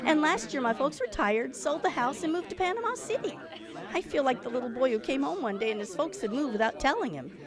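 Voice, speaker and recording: Female, actress as wry lonely office worker, higher voice, big melodic swings, chatty stage delivery, live audience and music. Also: expressive, a little fast, a crowd in the background. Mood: wry, animated. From Atlanta, southern US. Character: friendly, lonely Atlanta office woman, wryly humorous. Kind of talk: live stage audio drama before an audience.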